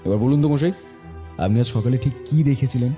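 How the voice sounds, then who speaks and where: A man playing a shrewd, confident detective with a brisk, commanding manner in an audio drama. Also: mid-range voice, mellow, faint music behind, lightly acted. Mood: calming, probing. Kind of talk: audio story acting.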